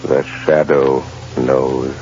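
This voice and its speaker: An actor playing a mysterious, menacing avenger in 1930s radio drama style, with slow, deliberate delivery. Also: male voice, extremely low pitch, flat delivery, full character voice. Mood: urgent, commanding. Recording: dull old radio broadcast, organ music.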